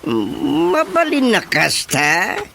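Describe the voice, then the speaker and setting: A man's voice: high voice, wildly swooping pitch, takes it slowly, noisy background, full character voice. A man with a Filipino accent playing a folksy, easily muddled village chairman in a comedic radio drama. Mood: amused, muddled.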